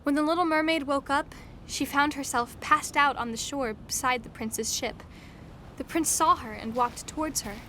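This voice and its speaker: High-voiced woman narrating a fairy tale. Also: moderate pace, bright, forceful tone, slight background noise. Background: music.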